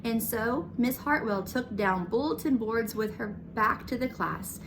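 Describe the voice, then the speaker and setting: A woman's voice: on the high side, a little slow, background noise. A woman reading a children's picture book aloud as a storyteller, narrating the scenes. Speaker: storybook reader.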